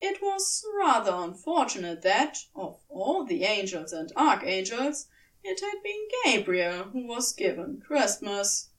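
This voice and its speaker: A woman reading a scripted story aloud as an expressive narrator. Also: medium pitch, unhurried, echoey room, distant mic. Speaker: podfic narrator.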